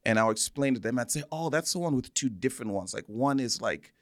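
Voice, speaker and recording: A man's voice: medium pitch, animated, fairly quick, a bit bright, no echo, clean studio sound. A man from Zambia telling personal stories as an interview guest, speaking earnestly and repeating words for emphasis. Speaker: interview guest, church minister. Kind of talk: conversational interview, personal storytelling.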